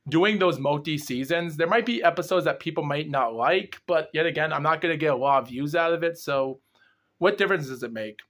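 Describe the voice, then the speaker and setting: A man's voice: on the high side, fairly bright tone. Groggy young man rambling in a vlog. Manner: rambling, halting, run-on sentences without pauses for breath. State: groggy and under the weather. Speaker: host of a paranormal exploration series. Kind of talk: rambling solo vlog recap.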